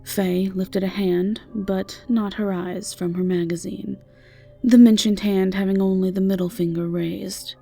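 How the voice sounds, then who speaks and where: A woman narrates a scripted fantasy audio roleplay. Her voice sits at a middle pitch with a marked creak, and soft music plays faintly behind her. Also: fairly even intonation, unhurried.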